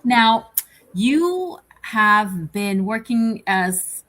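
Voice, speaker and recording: A woman's voice: mid-range voice, sing-song, very slow, a bit bright. A woman from Canada hosting a live online interview, upbeat and repeating words for emphasis. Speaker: host.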